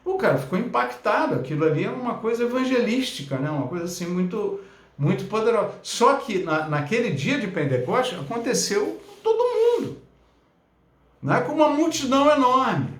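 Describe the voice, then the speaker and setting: A man's voice: high-pitched, very animated, moderate pace, fairly bright tone, roomy sound. A man teaching a Bible lesson as a pastor, talking conversationally with frequent fillers and tag questions.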